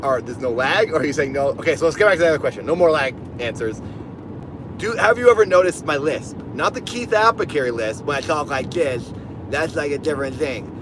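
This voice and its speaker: A man's voice: fairly high pitch, lively intonation, fairly quick. A man with a lisp chatting casually on a livestream from his car while driving, rambling and reading out donations. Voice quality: lisp on S sounds. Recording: car road noise behind.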